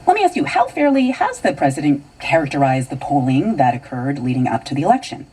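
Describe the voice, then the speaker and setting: Low-voiced, mellow American woman news host. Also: very animated, fast, breathy edge. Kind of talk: live news anchoring and questioning. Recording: distant car radio picked up by camera.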